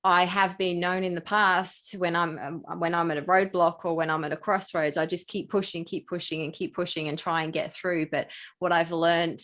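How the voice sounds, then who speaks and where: Casting: British woman, middle-aged, podcast host, energetic and chatty, fairly low voice. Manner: fast-talking, energetic, laughs often. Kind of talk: conversational interview.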